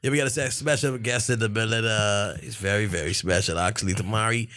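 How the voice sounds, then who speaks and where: A young man with a Bahamian accent co-hosting a podcast, telling stories in an animated, teasing way. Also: on the low side, fast, a crowd in the background. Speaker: podcast co-host. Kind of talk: casual chat.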